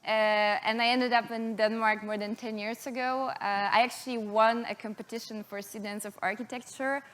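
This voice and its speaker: A woman in her thirties, originally from Poland, pitching her startup to investors and answering questions, with frequent hesitations. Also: fairly even intonation, normal speed, fairly bright tone. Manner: hesitant, many uh and um fillers.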